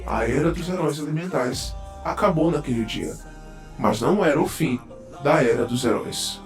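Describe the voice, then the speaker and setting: In an echoey sound with light music behind, a man plays a veteran male hero with a Brazilian accent. He is solemn and reflective, narrating in a fairly high voice. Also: moderate pace, close to an everyday voice. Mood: solemn, nostalgic. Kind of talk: scripted story narration. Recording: echoey voice over faint music.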